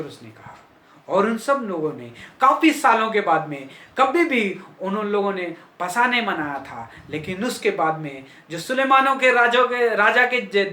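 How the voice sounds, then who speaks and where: A man's voice: on the high side, sharp tone, echoey room. A man preaching a sermon with fervent, repetitive emphasis that rises and falls.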